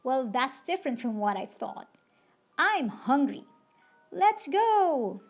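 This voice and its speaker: A woman's voice: high-pitched, big pitch swings, takes it slowly, soft background music, voice pushed up, exaggerated voice. A woman voicing an anxious, easily alarmed male skeleton who exclaims fretfully in a storybook reading. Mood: relieved, curious.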